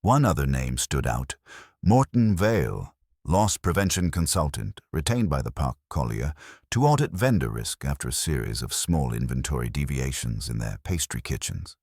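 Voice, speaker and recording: A man's voice: a bass voice, sing-song, moderate pace, a little mellow, slightly rough, no echo, clean studio sound. A man narrating a scripted first-person detective story in a wry, hard-boiled manner. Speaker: audiobook narrator.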